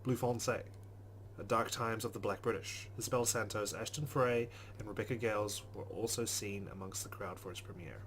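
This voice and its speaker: Low-voiced man over noticeable hum. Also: steady tone, normal speed, a bit bright, poor audio. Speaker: let's play host. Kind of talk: reading game narration aloud with casual commentary.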